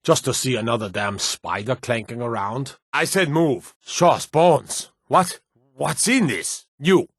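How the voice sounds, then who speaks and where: A man's voice: mid-range voice, slow pace, slightly rough, dry recording, clean studio sound. A man playing a stern, wary medieval city guard in a fantasy video game, with an authoritative, curt way of speaking. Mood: weary, grumbling. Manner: authoritative, curt, clipped commands.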